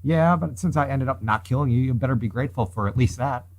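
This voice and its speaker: A man's voice: medium pitch, quick pace, lightly acted. Guy reading as brash young thief girl in a visual novel, cocky, kinda menacing. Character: brash, threatening young female boat thief.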